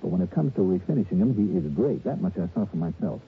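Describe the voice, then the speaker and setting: Wisecracking American investigator, slangy and insistent, swinging intonation, noisy old radio sound. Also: male voice, sits low, lively intonation, fast. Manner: punchy, insistent, slangy delivery. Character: brisk, wisecracking male insurance investigator hero. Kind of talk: 1950s-60s radio detective drama acting and narration. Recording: muffled, noisy vintage radio broadcast.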